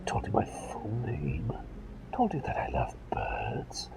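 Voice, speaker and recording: A man performing a whispered ASMR roleplay as a gentle, eccentric plague doctor, with courteous old-fashioned phrasing and a dithering, repetitive way of talking. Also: deep voice, wildly swooping pitch, slow, vocal fry. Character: gentle, eccentric, absent-minded male plague doctor, polite and chatty.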